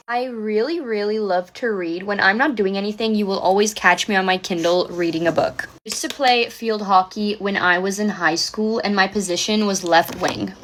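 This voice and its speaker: A woman's voice: medium pitch, a bit bright. A woman in her twenties from South Africa with an American accent, chatting casually to her viewers as an influencer while getting ready. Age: 20s. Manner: chatty, upbeat, talking straight to viewers. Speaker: social media influencer. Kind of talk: get-ready-with-me vlog chat.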